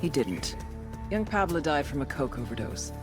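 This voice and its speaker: A wisecracking female agent narrates a game briefing. Her delivery is dry and crude, rising and dipping with lively emphasis. Music plays clearly behind her. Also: low voice, moderate pace. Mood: wry, grave. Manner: sardonic, crude, dry humour. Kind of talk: scripted sardonic narration. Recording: voice over music.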